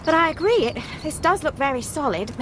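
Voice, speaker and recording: An actress in a radio drama playing a keen aircraft expert who talks precisely, with slight hesitations. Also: female voice, high-pitched, animated, fairly quick, background noise. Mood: insistent.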